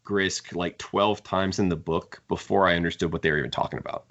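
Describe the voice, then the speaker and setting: A man with a very low voice talks casually as a podcast host. He is opinionated and critical as he discusses books. The recording is a little dull and lo-fi. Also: fairly even intonation, a little fast, a bit bright. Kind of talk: casual book discussion chat.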